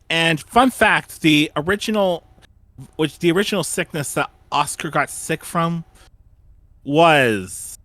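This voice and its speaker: A man's voice: mid-range voice, sharp tone, no echo. A man hosting a casual fan retrospective panel, often repeating and restarting his phrases.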